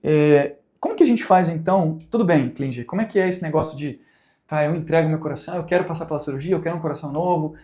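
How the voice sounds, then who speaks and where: Brazilian man teaching with a mellow voice. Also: a little high, quick pace. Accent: Brazilian Portuguese. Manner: conversational, asks rhetorical questions, acts out examples. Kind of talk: recorded teaching talk.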